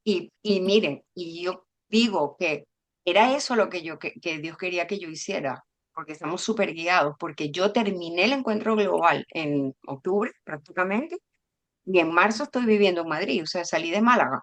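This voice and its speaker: A woman born in Venezuela and raised in Madrid, teaching a spiritual workshop over a video call in an expressive, storytelling way. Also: lowish voice, normal speed. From Venezuela and Spain. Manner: expressive, warm storyteller who acts out dialogue. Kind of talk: informal spiritual talk.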